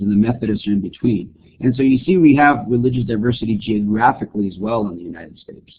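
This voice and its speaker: A college instructor from Greece giving a recorded politics lecture, explaining and asking rhetorical questions. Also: male voice, mid-range voice, husky. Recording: muffled phone-like lecture recording.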